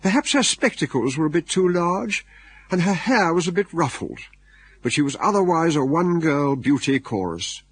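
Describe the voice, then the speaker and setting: A man's voice: a little high, lively intonation, normal speed, breathy edge, no room sound. A man with an English accent narrating a radio drama in a wry, droll storytelling manner.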